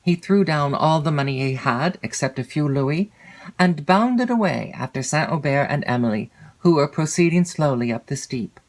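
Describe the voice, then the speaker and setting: A woman reading literary fiction as an audiobook narrator, in a measured, expressive storytelling manner. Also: low-pitched. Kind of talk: scripted literary narration of a classic novel.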